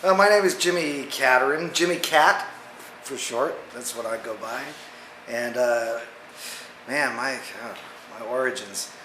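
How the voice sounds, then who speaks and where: A man's voice: medium pitch, bright, forceful tone. Rambling American man in echoey room. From Dallas, Texas, USA. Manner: rambling, halting, repeats words. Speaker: band musician. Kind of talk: casual band interview. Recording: roomy echo, faint noise.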